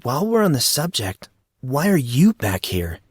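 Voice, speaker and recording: A man's voice: mid-range voice, very animated, moderate pace, husky, dry recording, studio quality, voice pushed up. A man voicing an awkward, apologetic fourteen-year-old schoolboy who is easily flustered, in an audio story. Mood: curious. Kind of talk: audio drama character dialogue.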